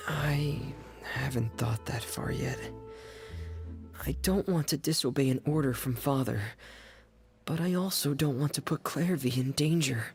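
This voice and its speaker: A man voicing a quiet, reserved young man in a video game who speaks softly, hesitantly and earnestly. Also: mid-range voice, measured pace, creaky, soft background music. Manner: soft-spoken, hesitant and earnest.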